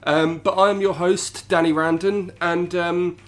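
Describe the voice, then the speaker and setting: Guy with a cold hosting a chill podcast, slightly higher voice, stuffy, lots of ums. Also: little pitch movement, unhurried. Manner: chatty, self-deprecating, many ums and restarts. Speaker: podcast host. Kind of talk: casual podcast chat. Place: home studio.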